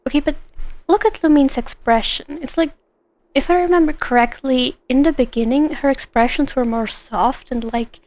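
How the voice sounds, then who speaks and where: A woman chats casually through a game stream. Her voice is high and animated, and she rambles as she comments. The audio is a little dull. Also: moderate pace, no room sound. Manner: animated, rambling, acts out imagined lines. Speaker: streamer, gamer.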